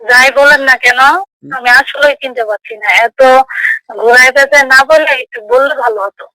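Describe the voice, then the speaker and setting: Bright, high-voiced Bangladeshi woman on phone. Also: fairly quick, no echo, rough recording. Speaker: phone call recipient. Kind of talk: casual phone conversation. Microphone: phone call.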